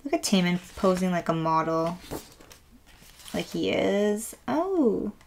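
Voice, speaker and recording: A woman with a raspy voice today, chatting softly and gushing with lots of fillers as she unboxes an album at a table. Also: fairly low pitch, big pitch swings, slow pace, a little hiss or hum. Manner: soft, rambling, gushing with many fillers. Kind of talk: casual unboxing chat. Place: indoors at a wooden table.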